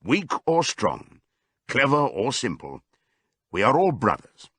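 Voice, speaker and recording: A man voicing an old male pig in an audiobook, giving a solemn, deliberate, rousing speech to his comrades. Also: medium pitch, wildly swooping pitch, a bit bright, no room sound, heavily acted. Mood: solemn, commanding. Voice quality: hoarse as scripted old voice. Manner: oratorical, deliberate.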